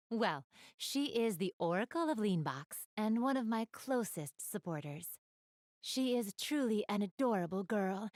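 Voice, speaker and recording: A woman's voice: mid-range voice, no room sound, clean studio sound, close to an everyday voice. A woman playing an elegant, polite young goddess in a video game, speaking to the listener in formal, ladylike phrases. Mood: fond, confiding. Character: elegant, refined young goddess with gamer enthusiasm. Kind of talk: video game character dialogue, addressing the listener.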